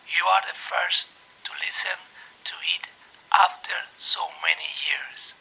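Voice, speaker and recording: A man hosts a horror series in a slow, heavily gravelled half-whisper. The delivery is ominous and dramatic, with a sharp, very husky edge. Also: high voice, fairly even intonation, low-quality recording. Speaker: host of a horror tape series. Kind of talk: slow horror story introduction.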